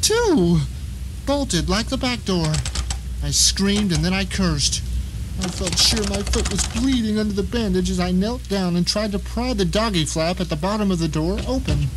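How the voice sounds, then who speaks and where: High-pitched, bitter blind novelist, acted by a man. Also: close to an everyday voice. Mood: frustrated, alarmed. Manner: wry, grumbling, theatrical storytelling with dramatic pauses. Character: bitter, paranoid blind male novelist telling his nightmare. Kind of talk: first-person dramatic audio drama narration. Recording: noticeable rain and ambient noise behind.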